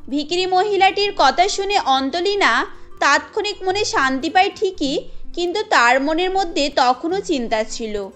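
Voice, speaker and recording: A woman's voice: very high-pitched, lively intonation, measured pace, fairly bright tone, faint music behind. A woman reading scripted narration for a cartoon story as its storyteller.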